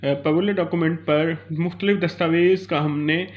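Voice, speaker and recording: Male law lecturer, Pakistani accent, voice a touch high, explanatory and code-switching, slightly muffled lo-fi sound. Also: fairly even intonation, normal speed. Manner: explanatory, switches between Urdu and English. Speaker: law teacher. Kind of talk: informal Urdu-English legal lecture. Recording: dull, lo-fi recording.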